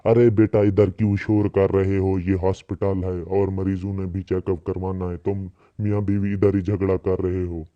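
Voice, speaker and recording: A man's voice: low-pitched, level tone, moderate pace, hardly any pauses, no room sound, close to an everyday voice. A man playing a paternal, instructing older doctor in a cartoon, on muffled audio. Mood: annoyed. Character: paternal older male doctor, advising, easily annoyed. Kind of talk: cartoon dialogue.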